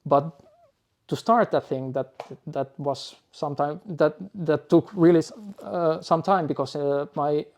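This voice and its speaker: A man in his forties from Finland, a DJ guest who speaks in a halting, thoughtful way with frequent restarts. Finnish and Dutch are his first languages. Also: on the high side, fairly even intonation, darkish tone. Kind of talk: podcast interview.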